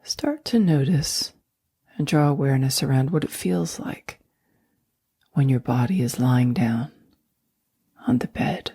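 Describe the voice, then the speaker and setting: A woman guiding a sleep meditation in a soft, breathy near-whisper, speaking slowly and gently with long, soothing pauses. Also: very deep voice, expressive, no echo, clean studio sound. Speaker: meditation guide.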